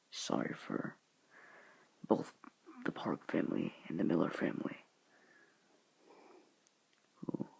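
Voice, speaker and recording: A teenage boy talking to his viewers in a soft, hushed, halting whisper, earnest and sorrowful in tone. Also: sits low, vocal fry, husky, rough recording. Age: teens. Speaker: student vlogger. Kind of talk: whispered somber address to viewers.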